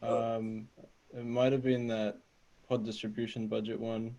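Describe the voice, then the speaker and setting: A man's voice: medium pitch, flat delivery, a little slow, mellow, dull audio. A man on a video call speaking hesitantly with many fillers in a casual meeting. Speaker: meeting participant.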